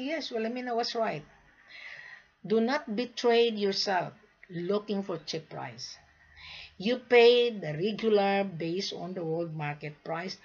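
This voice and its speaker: A woman's voice: a little high, darkish tone, husky, muffled recording. A woman born in the Philippines, giving an emphatic, lecturing sales talk to traders and repeating her points. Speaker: gold sales agent. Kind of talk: direct-to-camera sales talk.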